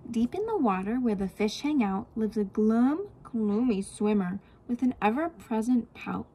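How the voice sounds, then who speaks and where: A woman reading a children's storybook aloud as a teacher, expressive and speaking directly to young listeners. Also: on the high side, a little slow, slightly rough.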